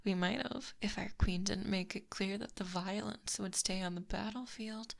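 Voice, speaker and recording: A woman voicing a possessive, smugly teasing snake monster in an intimate, breathy ASMR roleplay, close to the microphone. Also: mid-range voice, steady tone, lightly acted. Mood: smug, menacing. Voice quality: breathy, whispery. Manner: slow, smug, softly menacing. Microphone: close binaural microphone.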